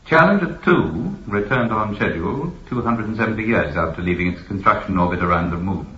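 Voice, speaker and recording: Deep, imperious archive keeper. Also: male voice, expressive, sharp tone, lo-fi sound. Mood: informative, detached. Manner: formal, measured, authoritative. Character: imperious, knowledgeable male keeper of an ancient imperial library. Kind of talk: science fiction radio drama acting. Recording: echoey, band-limited old radio recording.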